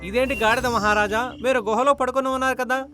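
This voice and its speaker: A man's voice: very high-pitched, steady tone, fairly quick, pushed and bright. A man voicing a puzzled, deferential cartoon monkey, respectful and questioning. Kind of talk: children's cartoon story dubbing. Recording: clear music bed behind the voice.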